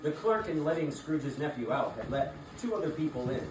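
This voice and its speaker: A man's voice: a little high, animated, a little fast. A man narrating a scripted Victorian story as part of a staged dramatic reading. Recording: distant echoey room, clear background noise.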